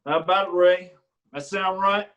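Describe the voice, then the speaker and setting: A man's voice: high-pitched, steady tone, a bit bright. A man reading a menacing rural lawman. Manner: slow, needling, threatening questions. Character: menacing man who is the local law. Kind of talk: staged play reading.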